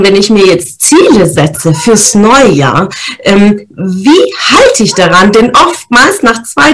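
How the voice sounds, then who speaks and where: A woman, hosting a podcast and giving a motivational talk on setting goals, explaining step by step and checking in with her listeners. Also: medium pitch. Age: 30s. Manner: lively, explains step by step with frequent "ja?" check-ins. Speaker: podcast host.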